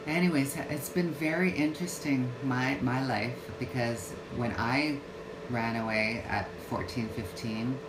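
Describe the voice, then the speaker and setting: Woman, 50s, slow unhurried livestream chat, hesitant with restarts; echoey room, loud AC hum. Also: very deep voice. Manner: halting, many fillers and restarts. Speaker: vlogger. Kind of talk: casual livestream talk. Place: home yoga room. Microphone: phone or webcam livestream.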